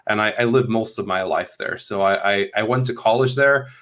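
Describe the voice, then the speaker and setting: A man's voice: on the low side, moderate pace, a bit bright. A man in his thirties, originally from Hungary, talking through his career as a guest on a video call, rambling and restarting his sentences. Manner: rambling, repeats words at starts. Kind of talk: casual interview talk. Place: small room at home. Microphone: earphones on video call.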